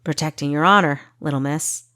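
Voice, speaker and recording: A woman's voice: sits low, brisk, fairly bright tone, clear, resonant tone, dry recording, studio quality. A woman voicing a playful, wry young man who likes to tease, in an audiobook. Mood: teasing. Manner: wry, teasing. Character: teasing, smirking young man, playful escort. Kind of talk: audiobook character dialogue.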